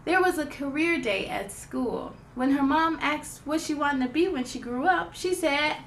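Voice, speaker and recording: A woman hosting a children's storytime, reading a picture book aloud in an excited, expressive, giggly way. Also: sits high, unhurried, slight background noise.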